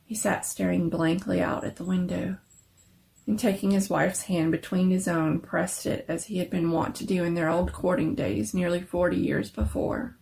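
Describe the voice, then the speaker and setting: A woman's voice: lowish voice, steady tone, moderate pace, gravelly, a little mellow, poor audio. A woman narrator giving a dramatic reading of a scary story.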